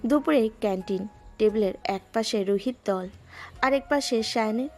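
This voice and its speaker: A woman narrating a romantic audio story in a measured, lyrical way. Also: on the high side, darkish tone, faint music behind.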